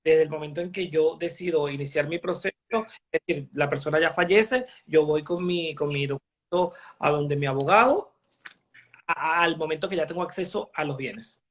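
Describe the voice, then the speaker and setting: A journalist from Venezuela asking an expert long, winding questions with many hesitations. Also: male voice, on the high side, moderate pace, a bit bright. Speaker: journalist interviewer. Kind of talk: interview questions.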